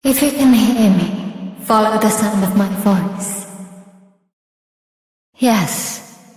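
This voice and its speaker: A woman voicing a gentle, mysterious fairy guide in a fantasy video game, beckoning in measured words with long reverb. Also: mid-range voice. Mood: beckoning, serene. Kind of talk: scripted video game voice acting.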